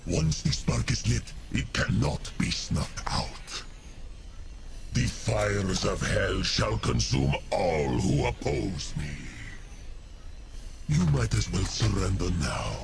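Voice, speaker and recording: An actor playing a menacing fire demon of metal and flame in a video game, with a gravelly growl and a grandiose, contemptuous manner. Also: male voice, on the low side, big pitch swings, slow, noisy background, heavily acted. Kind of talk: video game character voice lines.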